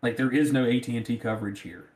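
A man's voice: mid-range voice, quick pace, darkish tone. A man with a rural Southern American accent, chatting casually. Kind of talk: casual chat. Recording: roomy, echoing sound.

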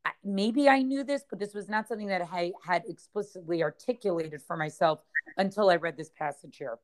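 A woman's voice: lowish voice, a little fast. A woman teaching a study group through a text, thinking aloud with frequent checks and restarts. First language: English. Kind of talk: explaining a text passage.

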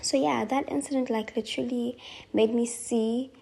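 A woman in her twenties with a South African accent, hosting a solo podcast and reflecting softly and thoughtfully, with frequent pauses and ums. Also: fairly high pitch, steady tone, gravelly, husky. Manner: soft-spoken, slow and thoughtful with frequent ums. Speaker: podcast host.

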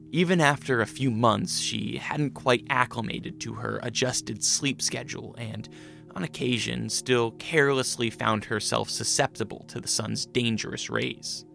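A male narrator reads a scripted story. His voice is mid-pitched with a noticeable creak, and soft music plays behind him.

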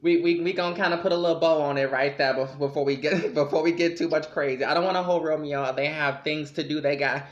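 A young adult podcast host chatting casually, expressive and full of slang, acting out voices when telling stories. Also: male voice, on the high side, fast, hardly any pauses, reverberant, highs cut off. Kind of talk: casual conversational interview.

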